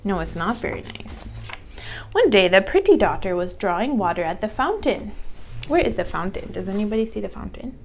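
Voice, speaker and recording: A woman's voice: medium pitch, big pitch swings, moderate pace. Female teacher for a children's story read-aloud; explains simply and checks in with questions. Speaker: English teacher reading to young children.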